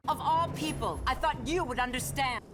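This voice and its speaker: A woman's voice: sits high, moderate pace, natural voice. A woman playing a proud, persuasive superhero warrior in a video game, earnest and insistent. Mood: urgent. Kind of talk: video game cutscene acting. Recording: dramatic music behind.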